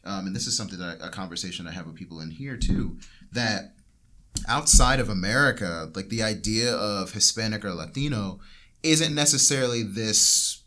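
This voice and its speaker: A man's voice: on the low side, moderate pace, sharp tone. A young man hosting a casual podcast discussion, rambling and correcting himself as he talks. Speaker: podcast host. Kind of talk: casual panel chat.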